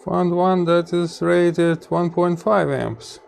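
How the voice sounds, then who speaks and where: A man's voice: high voice, steady tone, creaky, smooth. A man from Ukraine with a Ukrainian accent, musing half to himself as he works on electronics in his home workshop. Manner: slow, musing, mutters to himself while searching. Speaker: electronics hobbyist host. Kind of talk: casual workbench commentary.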